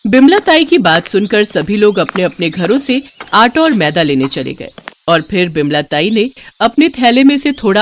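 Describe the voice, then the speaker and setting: A woman's voice: lowish voice, a little hiss or hum. A woman narrating a scripted moral story as a storyteller.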